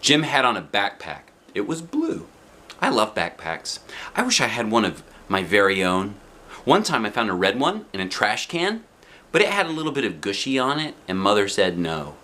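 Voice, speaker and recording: A man's voice: lowish voice, wildly swooping pitch, normal speed, sharp tone, full character voice. A man reading a children's storybook as an anxious, outspoken little kindergarten girl, with blunt, emphatic childlike phrasing. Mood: matter-of-fact, opinionated.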